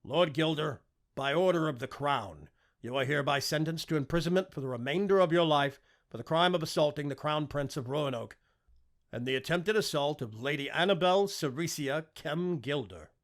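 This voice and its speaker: A man voicing a commanding, confident king with a formal, forceful delivery in an audiobook. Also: on the high side, pitched above the performer's own voice. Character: commanding, confident king passing stern sentence. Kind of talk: audiobook character dialogue.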